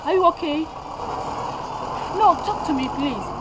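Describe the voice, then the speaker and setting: High-voiced mother, played by an actress. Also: animated, quick pace, very noisy, close to an everyday voice. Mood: anxious pleading. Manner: lecturing at length, with emphatic repetitions. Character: stern older mother lecturing, then pleading with her child. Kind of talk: Twi-language family drama acting.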